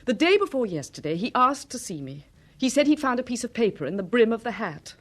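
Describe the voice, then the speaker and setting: A woman playing an anxious, insistent wife in a radio drama, speaking earnestly and with deliberate emphasis. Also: a little high, big pitch swings. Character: anxious, insistent wife convinced her husband lives.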